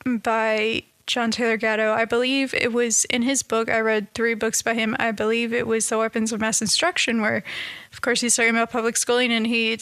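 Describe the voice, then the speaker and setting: A woman talking in a casual, reflective monologue, halting and restarting often, with a slightly nasal, stuffed-up voice. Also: fairly high pitch, flat delivery, clear, resonant tone, no room sound. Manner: halting, many restarts and soft laughs. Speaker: vlogger.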